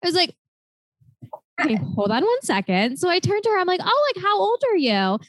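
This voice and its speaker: A woman in her late twenties co-hosting a podcast, telling stories in a chatty, animated way full of "like" fillers. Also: extremely high pitch, a little fast, no echo, studio quality. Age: 20s. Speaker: podcast co-host. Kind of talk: casual chat.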